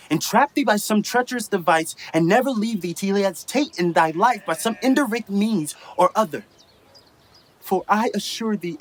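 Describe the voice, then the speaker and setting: Here is a man playing a haughty, scheming young nobleman in a radio play of Shakespeare. The voice is high for a male, venomous and deliberate in its theatrical phrasing, with faint noise in the background. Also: lightly acted. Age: young adult.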